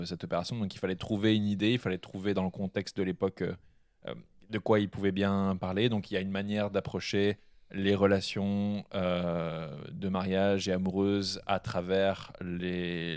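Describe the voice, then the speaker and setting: A man co-hosting a film podcast, giving a measured review with frequent hesitations. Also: sits low, darkish tone, smooth, dry recording, clean studio sound. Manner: hesitant, many fillers and restarts, measured. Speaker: podcast co-host. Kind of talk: casual film review chat.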